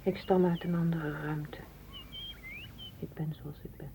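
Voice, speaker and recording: An actress playing a solitary, dreamy woman in a radio drama, speaking in a hushed, poetic way. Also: sits low, flat delivery, unhurried, a little hiss or hum. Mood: wistful. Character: solitary, dreamy, independent woman longing for open space. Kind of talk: literary radio drama acting.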